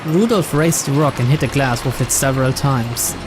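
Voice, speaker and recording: Male narrator, average register, reading visual novel mystery prose under a loud musical score. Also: mid-range voice, normal speed, over loud music, low-quality recording.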